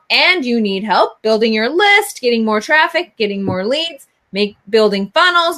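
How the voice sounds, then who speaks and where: A woman's voice: sits high, sharp tone. A woman co-hosting a live show in a casual, chatty, teasing way. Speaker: co-host of a business live show. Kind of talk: casual chat. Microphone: live video call.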